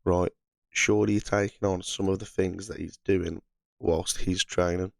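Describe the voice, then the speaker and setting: A croaky man who is losing his voice talks through fight picks in a relaxed, chatty way, dropping "right" as a filler. His voice sits very low, and the sound is close and dry with no room around it. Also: normal speed, dry recording. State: hoarse, under the weather. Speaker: MMA channel host. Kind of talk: casual fight predictions monologue.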